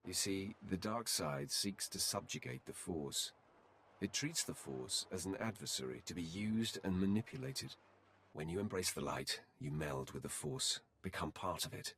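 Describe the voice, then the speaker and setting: A grave Sith warrior from a video game, with a very low voice full of gravel. He speaks formally and solemnly, in measured phrases. There is clear noise behind the voice. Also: sing-song, fairly bright tone, exaggerated voice. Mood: solemn, earnest. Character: deep-voiced Sith warrior confronting his solemn mirror-image light self. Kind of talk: video game dialogue acting.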